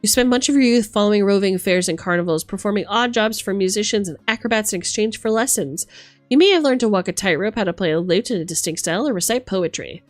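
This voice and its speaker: A woman streamer chatting casually and reading aloud from a rulebook, with an animated, theatrical manner as she reacts. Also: mid-range voice, quick pace, dry recording. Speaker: streamer host. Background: music.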